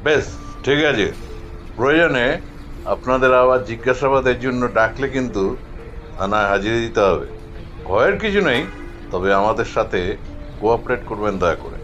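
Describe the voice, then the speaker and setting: A man voices a brusque, authoritative policeman in an audio drama. He questions people firmly at a middle pitch, in slightly dull sound over faint music. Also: lively intonation, normal speed, long pauses, fairly bright tone, lightly acted. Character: stern, commanding male police officer questioning witnesses. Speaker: actor in an audio story. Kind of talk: Bengali audio drama acting.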